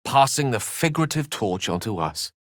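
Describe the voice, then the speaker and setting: A man's voice: medium pitch, big pitch swings, normal speed, a bit bright, no echo, studio quality. An actor playing a wry, scholarly middle-aged wizard in a fantasy video game, articulate and theatrical in his phrasing. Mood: measured, wry. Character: eloquent, wry, scholarly male wizard adventurer.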